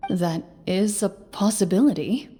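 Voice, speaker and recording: A woman voicing a composed, literal young game master in a video game, speaking in a measured, formal, deadpan way. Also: medium pitch, big-room sound, a little hiss or hum, lightly acted.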